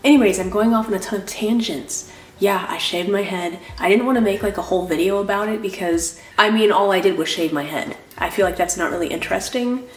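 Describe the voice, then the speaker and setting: A woman's voice: medium pitch, fairly quick, roomy sound, a little hiss or hum, rough recording. A young woman vlogging in a casual, rambling way, full of "like" fillers, sounding nasal from a cold. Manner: rambling, lots of "like" fillers, apologetic. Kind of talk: casual chatty vlog.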